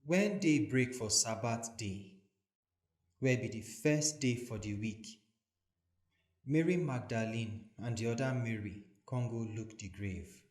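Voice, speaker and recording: A man with a Nigerian accent reading scripture as a measured, deliberate dramatic narration. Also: mid-range voice, lively intonation, a little mellow. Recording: echoey voice recording with music. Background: soothing background music.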